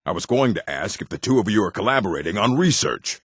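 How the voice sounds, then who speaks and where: Stern orc, game character: very low, husky, commanding delivery with wide swings in pitch. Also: male voice, very animated, quick pace, dry recording, studio quality. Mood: dry, irritable. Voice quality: gravelly, husky. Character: gruff male orc, stern bookish keeper and warrior. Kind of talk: video game character voice acting.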